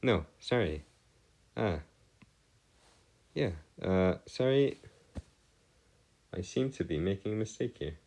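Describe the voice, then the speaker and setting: A man with a deep voice tutors in an explanatory, partly improvised style. His pitch swings widely as he works through each point. He is formal and wordy, often hesitating and rephrasing himself. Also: expressive, takes it slowly, gravelly, long pauses, darkish tone, poor audio. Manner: hesitant, self-correcting, formal wordy phrasing. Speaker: math tutor. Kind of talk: semi-improvised explanatory lesson.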